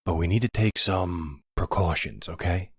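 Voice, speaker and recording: Casting: male actor as supportive boyfriend for intimate roleplay audio; low, very husky voice, halting and gently questioning. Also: no echo. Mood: determined, uneasy. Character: supportive, puzzled boyfriend of a werewolf, adult man.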